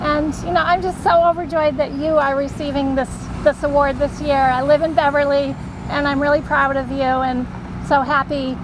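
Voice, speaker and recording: A woman giving a congratulatory speech at an outdoor podium, soft-spoken and halting, with restarts. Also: sits high, background noise. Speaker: volunteer program director. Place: outdoors at a podium in front of a brick building. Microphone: podium mic.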